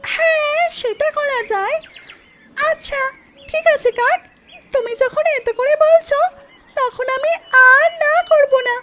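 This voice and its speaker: A performer voicing a kind, sympathetic mother duck in a cartoon story, gentle and caring. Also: very high voice, slow, noisy background. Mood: obliging.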